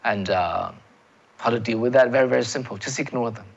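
A man's voice: mid-range voice, a little fast, gravelly, breathy edge. A man with a Chinese accent delivers political commentary in a mid-range voice, pressing his points hard and repeating words as he searches for phrasing. The audio sounds slightly dull and lo-fi. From China. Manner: emphatic, repeats words and restarts, addresses viewers as folks.

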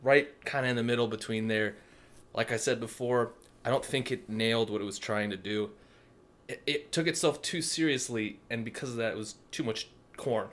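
A man co-hosting a film review podcast, blunt and opinionated, often trailing off quietly. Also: medium pitch, moderate pace. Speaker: podcast co-host. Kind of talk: casual film review chat.

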